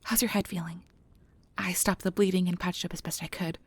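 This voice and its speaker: Woman playing a nervous young female researcher, quick rambling speech, slightly breathy and husky, caring, flustered, apologetic, ASMR fantasy roleplay. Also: lowish voice, steady tone. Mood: relieved, concerned. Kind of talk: ASMR roleplay audio drama.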